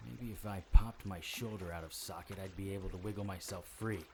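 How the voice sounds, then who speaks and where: An actor playing a wry, world-weary supernatural investigator, narrating a noir audio drama in clipped, deadpan phrases. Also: male voice, low voice, fairly quick, background noise. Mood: strained, grim.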